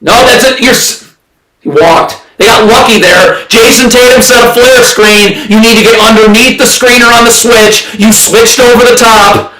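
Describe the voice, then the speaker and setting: A man's voice: very high-pitched, moderate pace, over a noisy crowd. A man doing live basketball play-by-play reactions on a stream, exasperated and blunt, often raising his voice and repeating himself. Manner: exasperated, ranting, often shouting. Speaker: livestream sports commentator. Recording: roomy echo, distant from mic.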